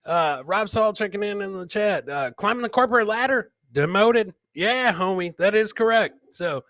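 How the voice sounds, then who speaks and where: A man's voice: high voice, lively intonation, normal speed, a bit bright, dry recording, clean studio sound. A man hosting a comedy show, chatting casually and ramblingly with joking asides as he comments on clips.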